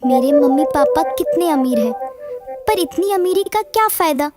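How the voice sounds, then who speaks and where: A woman voicing a young, excitable schoolgirl in a children's animated story, openly emotional and exclamatory, with music behind her. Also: high voice, normal speed. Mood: sad. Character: young rich schoolgirl, wistful, curious, excitable.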